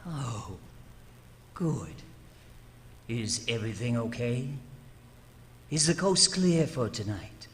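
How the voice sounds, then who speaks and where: A man's voice: mid-range voice, lively intonation, slow pace, long pauses. A man playing a cool, obedient hired killer in an old-time radio suspense drama. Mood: cool, matter-of-fact.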